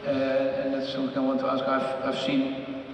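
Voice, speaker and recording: A man's voice: mid-range voice, completely flat delivery, moderate pace, a little hiss or hum. A man with a Dutch accent moderating a panel, asking thoughtful, hesitant questions. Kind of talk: panel discussion. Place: large event hall. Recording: echoey hall from far off.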